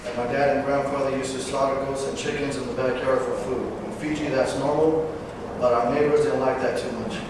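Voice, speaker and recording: A man in his twenties from Northern California, a veteran giving a personal talk, halting at times with fillers and self-corrections. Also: medium pitch, level tone. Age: 20s. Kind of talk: personal speech read to an audience. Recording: distant, echoey hall recording with background noise.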